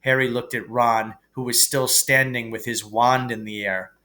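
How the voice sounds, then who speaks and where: A man narrating a children's novel aloud as a teacher would, measured and expressive. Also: mid-range voice. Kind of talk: storybook narration.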